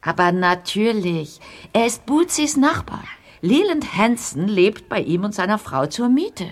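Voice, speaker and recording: A woman's voice: medium pitch, big pitch swings, unhurried. An actress playing an older, gossipy small-town woman in an audio drama, talkative and emphatic. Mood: chatty, gossipy.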